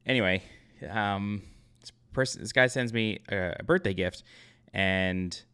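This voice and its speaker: Deep-voiced man rambling through a story. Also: measured pace, fairly bright tone, clear, resonant tone. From Long Island, New York. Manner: rambling, self-correcting, many fillers. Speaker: YouTube channel host. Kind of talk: casual storytime.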